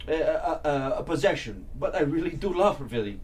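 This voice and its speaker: An actor playing a tense, troubled immigrant husband in a crime drama table read, speaking in a curt, halting way. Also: male voice, on the high side, expressive, slight background noise, close to an everyday voice. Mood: troubled, pleading.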